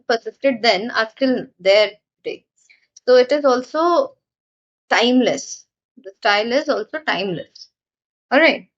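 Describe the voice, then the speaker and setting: A woman's voice: a little high, dull audio. A woman teacher explaining a poem to exam students, patiently repeating points with frequent fillers. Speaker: English teacher.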